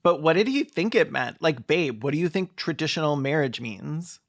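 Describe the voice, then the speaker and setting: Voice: mid-range voice, animated, normal speed, slight background noise. A video commentator doing mocking, profane, campy comedic commentary on a film.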